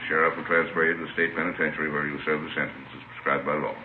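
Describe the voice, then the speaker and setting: An actor playing a stern judge who recites formally and in measured phrases in an old radio drama. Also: male voice, very deep voice, flat delivery, phone-quality sound. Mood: solemn. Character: stern, formal male judge passing sentence. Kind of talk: 1940s-50s radio crime drama acting. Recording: noisy, distant, echoey old radio recording.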